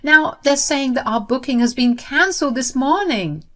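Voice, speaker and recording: A woman voicing an entitled sister-in-law, shrill and frantic. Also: normal speed, fairly bright tone, natural voice. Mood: panicked.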